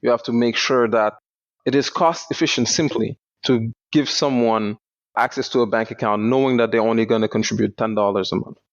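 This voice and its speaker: A young man from Senegal, a startup founder, explaining his work in a measured way in a podcast interview. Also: mid-range voice, fairly even intonation, no room sound. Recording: dull lo-fi dry sound.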